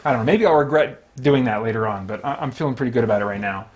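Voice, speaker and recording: A man's voice: medium pitch, racing, a little hiss or hum. A man hosting a solo tabletop gaming stream, giving casual running commentary and thinking aloud as he talks through each move. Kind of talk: casual running commentary while playing a board game.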